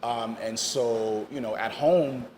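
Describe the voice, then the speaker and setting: A man in his fifties from Haiti, a DJ telling his story in a casual interview, relaxed and full of you-knows and ums, slipping into French now and then. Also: medium pitch, takes it slowly, background noise. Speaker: DJ guest.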